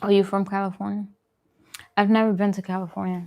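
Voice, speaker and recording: A woman's voice: mid-range voice, level tone, fairly quick, darkish tone. A woman chatting casually and playfully with viewers as the informal host of an eating livestream. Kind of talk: casual chat. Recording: clearly noisy background.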